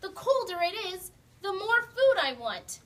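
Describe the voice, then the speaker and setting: A woman voicing a gentle, loyal young male rabbit in a puppet show, with an earnest storybook delivery. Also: very high voice, big pitch swings, measured pace, pitched above the performer's own voice, full character voice. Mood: thoughtful. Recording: distant mic, faint noise.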